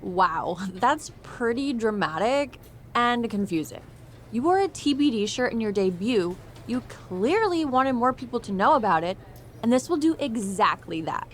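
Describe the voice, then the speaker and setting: A woman's voice: on the high side, big pitch swings, a little slow, slight background noise. A woman playing a vain, self-absorbed young pro wrestler in a video game, breezy and gushing with a sugary passive-aggressive edge. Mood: mock-sweet. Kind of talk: video game cutscene acting.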